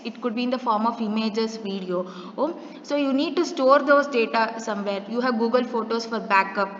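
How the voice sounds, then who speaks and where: A woman with a fairly high voice lectures in a roomy space that rings with long reverb. She explains step by step, asking her listeners questions and reaching for everyday examples. The recording sounds dull and muffled. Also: fairly bright tone.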